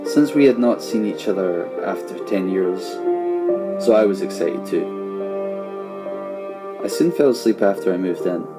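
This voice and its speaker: Male horror storyteller, deep voice, first-person read, loud guitar and piano music throughout. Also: lively intonation, measured pace, long pauses.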